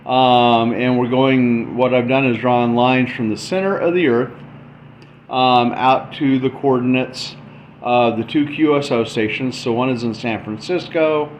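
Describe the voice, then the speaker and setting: A man giving an informal tutorial walkthrough, thinking aloud as he explains a demonstration. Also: mid-range voice. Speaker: explainer presenting a math and radio demo. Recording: dull lo-fi mic with faint background noise.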